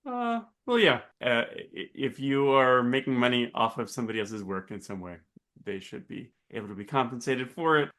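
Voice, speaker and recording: A man co-hosting a podcast, giving his opinion casually in halting, hesitant phrases. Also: mid-range voice, lively intonation, a bit bright. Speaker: podcast co-host.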